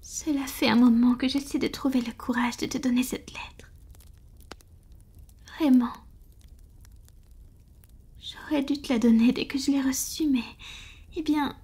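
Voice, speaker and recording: A woman's voice: high voice, fairly even intonation, a little slow, pauses often, dark tone, breathy edge, heavily acted. A woman playing a tender, vulnerable vampire lover in an intimate, whispered ASMR roleplay, speaking breathily and hesitantly. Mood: hesitant, confessing.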